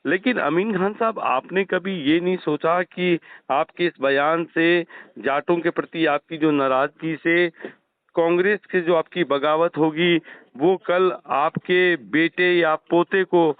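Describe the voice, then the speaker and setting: Male phone interviewer, somewhat high voice, courteous yet insistent, narrow call audio. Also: takes it slowly, no room sound. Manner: polite but persistent, frequent acknowledgements. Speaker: journalist interviewer. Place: telephone call.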